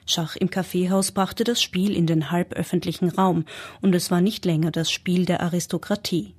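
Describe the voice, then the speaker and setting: Husky low-voiced woman narrating. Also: steady tone, normal speed, darkish tone. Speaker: radio reporter. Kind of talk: scripted narration.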